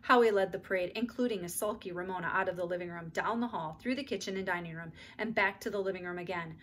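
A woman's voice: on the low side, fast talker, a bit bright, a little hiss or hum. A middle-aged woman narrating a children's book expressively, reading aloud indoors at home. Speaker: read-aloud storyteller.

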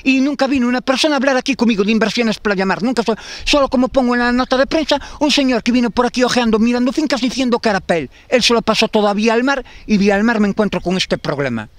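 A man's voice: very high-pitched, quick pace, dry recording. A man in his fifties with a Galician Spanish accent, talking in a street interview outdoors, rambling and repeating himself with many restarts. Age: 50s. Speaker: tenant facing eviction. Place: outdoors in a garden. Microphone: handheld interviewer mic.

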